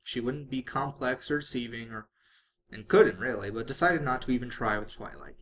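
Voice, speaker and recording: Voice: medium pitch, moderate pace, a bit bright. An amateur reader narrating a story aloud in the manner of a home-made audiobook. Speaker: fan fiction reader.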